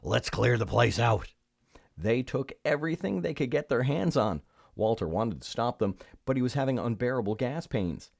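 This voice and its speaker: A man's voice: medium pitch, animated, normal speed, creaky, darkish tone, dry recording, clean studio sound. A man reading a children's story aloud, expressively and with theatrical emphasis. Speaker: storybook reader.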